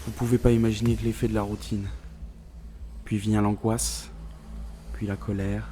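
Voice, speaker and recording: Man playing a grave, haunted space scientist, mellow voice. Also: on the low side, little pitch movement, a little hiss or hum, natural voice. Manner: grave, deliberate, weighted pauses. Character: male scientific officer haunted by a doomed space mission. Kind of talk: scripted dramatic first-person narration.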